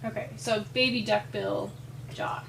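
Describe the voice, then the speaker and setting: A woman's voice: medium pitch, steady tone, measured pace. A woman with an American accent asking casual questions as an interviewer. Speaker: interviewer, museum president. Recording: roomy, distant mic, clear background noise.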